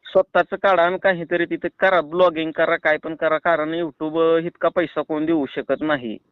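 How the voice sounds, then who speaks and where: A man's voice: fairly high pitch, fairly even intonation, normal speed, clean tone, no room sound. A man with a rural Maharashtrian Marathi accent chatting casually on the phone, persuasive and reassuring. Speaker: prank caller. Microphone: phone line. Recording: tinny phone call recording.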